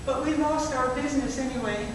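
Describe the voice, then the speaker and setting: A woman voicing the fearful wife of a gas station owner, speaking in a measured, reflective way. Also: high-pitched, natural voice. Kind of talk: spoken-word poetry performance. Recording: echoey hall from far off, noisy.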